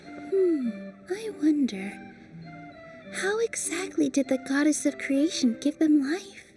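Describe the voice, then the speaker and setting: A woman voicing a graceful young dancer in a video game, soft, thoughtful and playful. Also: high-pitched, lively intonation, slow, distant mic. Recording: voice set back from mic, faint game music.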